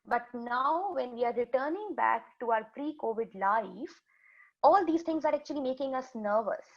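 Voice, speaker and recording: Urdu-native woman giving a health webinar, fairly high voice, keeps restarting words, sound a bit muffled. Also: moderate pace. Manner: steady explanatory, restarts words, repeats points. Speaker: health educator presenting a webinar. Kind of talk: slide presentation to students. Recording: dull lo-fi webinar audio.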